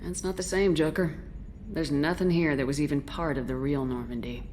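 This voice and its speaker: A woman's voice: low-pitched, steady tone, moderate pace, darkish tone, slight background noise, natural voice. A woman playing a firm military commander in a video game, direct and controlled. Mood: somber. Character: firm, self-assured female military commander.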